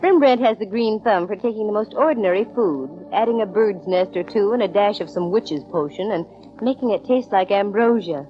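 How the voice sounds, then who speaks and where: A woman's voice: mid-range voice, lively intonation, moderate pace, lightly acted. A woman playing a wry, self-assured young private detective in 1940s radio drama style, with dry, breezy delivery. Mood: wry narration. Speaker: lead actress in radio detective series. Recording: dull vintage radio recording.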